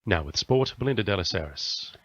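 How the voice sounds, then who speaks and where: A man with an Australian accent reads radio news from a script. His voice sits on the low side, and the audio is a little dull, with the top end trimmed. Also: lively intonation, fairly quick, a bit bright. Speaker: news reader.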